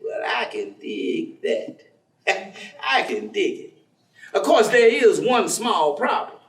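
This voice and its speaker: An actor playing a folksy, devout former drinker in a staged reading, playful and persistent, telling stories in colloquial speech with theatrical exclamations. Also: male voice, sits high, expressive, slow, vocal fry, a bit bright, full character voice. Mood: wry, reflective. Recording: echoey stage, distant microphone.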